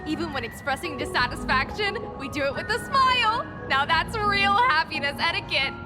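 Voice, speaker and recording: Very high, prim, smiley townswoman. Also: animated, moderate pace. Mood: cheerfully smug. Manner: mannered, smiling politeness. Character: prim, forcedly cheerful townswoman, proud of etiquette. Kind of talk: video game voice acting. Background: loud background music.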